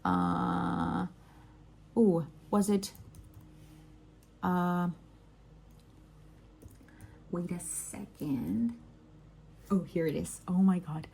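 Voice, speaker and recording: Mellow-voiced Mexican woman chatting casually. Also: on the low side, very slow, gravelly, long pauses, husky. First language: Spanish. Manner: animated, digressive, self-interrupting. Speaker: vlogger and crafter, telling work anecdotes.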